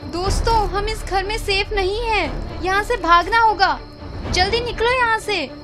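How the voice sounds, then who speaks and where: In a cartoon, a woman plays a bossy young heroine running from zombies, her voice set very high. She talks in short, urgent commands, and loud music plays under her lines. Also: unhurried. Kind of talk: Hindi cartoon dubbing.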